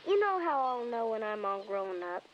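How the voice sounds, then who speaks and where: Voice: mid-range voice, big pitch swings, normal speed, highs cut off, slight background noise, close to an everyday voice. A child actor playing a curious, earnest young boy in scripted television family dialogue, plainspoken and inquisitive. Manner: inquisitive, plainspoken, matter-of-fact.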